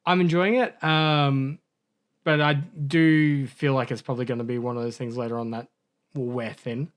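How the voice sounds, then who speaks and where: A man's voice: medium pitch, level tone, moderate pace. A man co-hosting a gaming podcast, rambling through a story in a casual way, correcting himself and laughing at his own mishaps. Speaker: podcast co-host.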